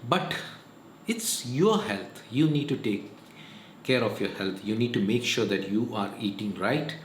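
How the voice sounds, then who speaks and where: A man's voice: on the low side, lively intonation, unhurried. A man, giving earnest, repetitive health advice in a casual vlog monologue. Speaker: vlogger. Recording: echoey room, faint noise.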